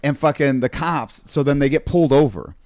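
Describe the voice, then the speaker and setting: A man's voice: mid-range voice, fairly quick, darkish tone, dry recording. A man co-hosting a talk show, swapping funny stories in a casual, profane, slangy way. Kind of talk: casual comedic storytelling.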